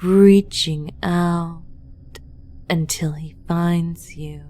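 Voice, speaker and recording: A woman leads a guided meditation with extremely slow, deliberate phrasing. Her voice is lowish and soft-edged, dark in tone. She delivers the script in a hushed, incantatory manner. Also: steady tone, slight background noise.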